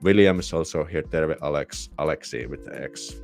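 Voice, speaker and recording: A man with a Finnish accent hosts a live stream, his voice sitting very deep. He rambles casually and pokes fun at himself, restarting half-said words. Soft music plays faintly behind him. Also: normal speed, vocal fry. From Finland. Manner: rambling, chatty, self-deprecating, with false starts. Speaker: YouTuber hosting a live Q&A.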